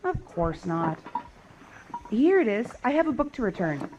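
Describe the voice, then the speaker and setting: A woman playing a curious wandering survivor who loves books, in an audio drama, with an expressive, questioning delivery. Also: medium pitch, measured pace, highs cut off. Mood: tentative, wry. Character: wandering female book lover, curious, pleading, indignant survivor. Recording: clearly noisy background with clicks.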